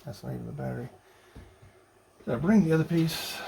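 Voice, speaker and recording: A man narrating a hands-on DIY job casually, halting and muttering to himself as he works. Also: medium pitch, gravelly, background noise. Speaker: vlogger building a radio kit.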